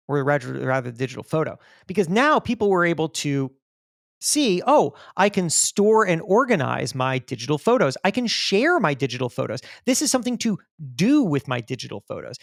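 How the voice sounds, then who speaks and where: Emphatic male storyteller with creaky voice. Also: on the high side, a bit bright, no echo, clean studio sound. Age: middle-aged. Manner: emphatic, repeats phrases for effect. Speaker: podcast guest, magazine editor and author. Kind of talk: animated storytelling in an interview.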